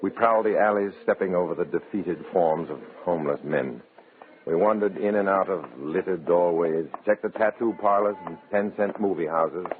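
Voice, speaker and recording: Vintage radio with clear noise and a thin band frames an actor as a low-voiced male undercover agent. He sounds measured and down-to-earth, a cool, placating figure of old radio drama. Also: lightly acted. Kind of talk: old-time radio drama acting and narration. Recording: noisy, tinny old radio recording.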